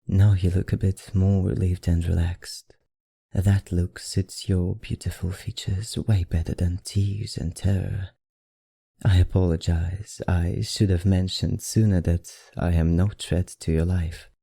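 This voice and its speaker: Someone acting a husky, whispery, deep-voiced seductive vampire librarian. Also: level tone, mellow, dry recording, clean studio sound, full character voice. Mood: gentle, apologetic. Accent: put-on foreign accent. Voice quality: breathy, husky, often dropping to a whisper. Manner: slow, soothing, courtly formal speech. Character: seductive, teasing vampire librarian feeding on a visitor. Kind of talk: ASMR roleplay.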